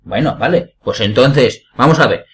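A man's voice: mid-range voice, animated, moderate pace, lightly acted. A man from Spain acting an indignant, blustering cuckolded husband in a radio play farce, with a Spanish accent. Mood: defensive, aggrieved.

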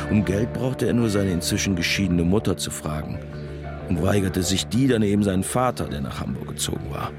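A man's voice: low-pitched, close to an everyday voice. An actor playing a middle-aged man who narrates his village youth in a radio drama, dry, wry and literary. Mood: wry, nostalgic. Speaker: first-person narrator.

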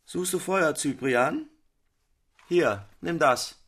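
An actor playing a fastidious gentleman, speaking formally and with emphasis in a literary radio drama. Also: a little high, lively intonation, slight background noise. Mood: disdainful. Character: fastidious male gentleman who shuns eccentrics.

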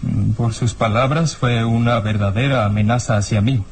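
An actor playing a serious, worried police inspector in a radio serial, with a sober, brooding, formal manner. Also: male voice, mid-range voice, steady tone, moderate pace, close to an everyday voice. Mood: uneasy. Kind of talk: radio serial drama acting.

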